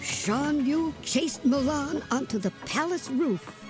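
A woman reading a children's storybook aloud with a lively, expressive delivery. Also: high voice, slow, music in the background. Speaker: storybook reader. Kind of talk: read-aloud storybook narration.

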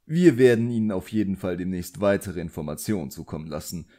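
A man's voice: low-pitched, animated, a little fast, dark tone. A man voicing an elegant, condescending villain sorcerer in an audiobook, suave and politely commanding. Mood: smooth, authoritative. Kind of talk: audiobook character acting.

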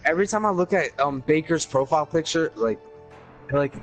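A man's voice: on the high side, expressive, fairly quick, faint music behind. A young man in casual, crude, slangy chat with friends while gaming, joking around as he streams. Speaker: online gamer, streamer.

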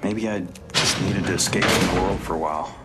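A weary American convict in his thirties from a video game, played by a man. His voice sits very low and he answers in short, guarded, evasive phrases over background music. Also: brisk, lightly acted.

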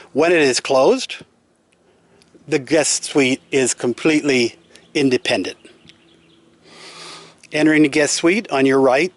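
Male, mid-pitched, plain explanatory tour guide delivery, unscripted, recorded on a handheld camera outdoors. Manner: matter-of-fact, explanatory. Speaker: homeowner giving a house tour. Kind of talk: unscripted walk-through tour narration. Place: outdoors in an open timber-framed house under construction. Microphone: handheld camera, held by the speaker.

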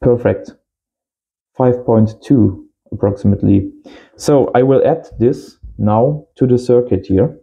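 A man's voice: mid-range voice, a little slow, creaky, warm tone, lo-fi sound. A man in his thirties giving a casual hands-on tutorial as host, in simple short phrases. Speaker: host of amateur radio channel. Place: small indoor home workshop.

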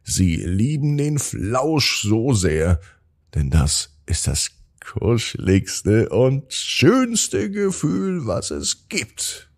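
A man's voice: medium pitch, huge pitch swings, very slow, creaky, darkish tone. A man narrating a children's bedtime story in a soothing manner, drawing out words for emphasis.

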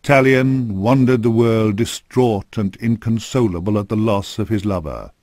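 Low-voiced man, solemn fantasy narrator. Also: moderate pace, a little mellow, no echo, studio quality. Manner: solemn, formal storytelling. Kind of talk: scripted fantasy game narration. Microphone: close studio microphone.

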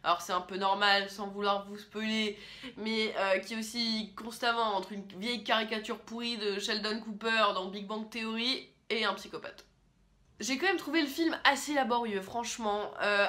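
A young woman talking casually and with strong opinions as she reviews films into a camera in a small room, often pausing on fillers. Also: a little high, pushed and bright. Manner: chatty, opinionated, with frequent fillers. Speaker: film critic host. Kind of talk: casual film review vlog.

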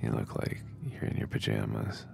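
Teasing young male love interest for ASMR roleplay, man acting: rock-bottom pitch, very gravelly, soft reassuring murmur. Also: very animated, moderate pace, background noise, heavily acted. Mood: tender, teasing. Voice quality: breathy whisper, gravelly. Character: young man sneaking his date out, teasing, tender, reassuring.

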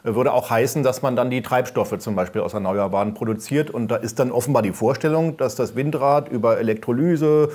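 A man's voice: medium pitch, fairly quick, hardly any pauses, darkish tone. A man speaking as a guest on a panel, explaining his points in a matter-of-fact way. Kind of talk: discussion panel.

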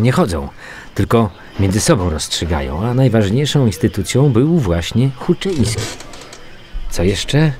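An actor playing a matter-of-fact, weary male police investigator in a radio drama, muttering and repeating himself haltingly. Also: lowish voice, darkish tone, slight background noise. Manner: halting, muttering repetitions while reading out reports. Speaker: actor in an audio crime drama. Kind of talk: naturalistic radio drama dialogue.

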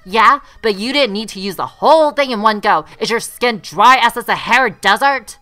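Woman playing a bright, bratty teen girl. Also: on the high side, moderate pace, soft background music. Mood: outraged. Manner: indignant, snooty. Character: bratty, boastful, haughty teenage rich girl. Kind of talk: comic dub cartoon acting.

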